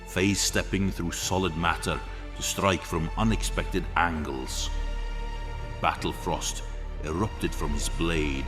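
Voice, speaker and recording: Very deep, slow male fantasy narrator. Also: steady tone. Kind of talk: dramatic scripted fantasy narration. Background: music.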